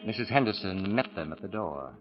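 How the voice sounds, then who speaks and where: A man narrating 1940s radio suspense in a dramatic scripted style, with deliberate pauses and ominous build-up. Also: deep voice, expressive. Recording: dull vintage radio recording with music.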